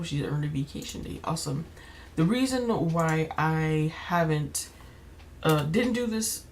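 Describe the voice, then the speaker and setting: A young woman with a slightly raspy voice, chatting casually while playing a life-sim game, rambling with many fillers and restarts. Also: low voice, a little mellow, a little hiss or hum. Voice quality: slightly raspy, keeps clearing throat. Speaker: gaming YouTuber. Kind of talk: casual gameplay commentary.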